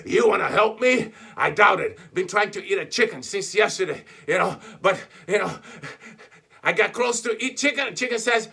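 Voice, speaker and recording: A man's voice: high voice, lively intonation, normal speed, vocal fry, pushed and bright, slightly rough, exaggerated voice. A man voicing a grumbling, hungry, skeptical crocodile in a children's story, indignant and disbelieving.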